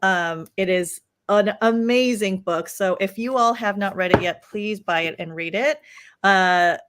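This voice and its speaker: A woman at a mid pitch opens a live online book talk and welcomes the viewers. She introduces her guest and explains the session in a clear, organized way. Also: a little slow, background noise. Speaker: host and co-director.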